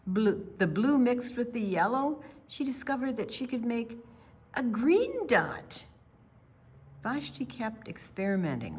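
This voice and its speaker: An older woman reads a picture book aloud for young children, expressively and with pauses for her listeners. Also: medium pitch, slightly rough. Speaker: children's library storyteller.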